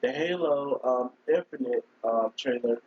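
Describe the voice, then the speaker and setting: A man with a hoarse voice from a cold, husky and rough at the edges. He rambles through a casual live-stream chat and keeps stopping to clear his throat. The sound is dull and lo-fi. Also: mid-range voice, unhurried. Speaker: gaming streamer.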